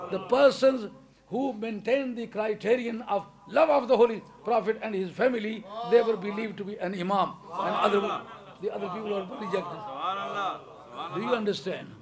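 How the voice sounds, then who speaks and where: A man from Pakistan with a Pakistani accent, preaching an English sermon to a congregation with emphatic stresses and frequent chuckles. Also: high-pitched. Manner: emphatic, rising to loud stresses, chuckles between points. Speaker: religious preacher.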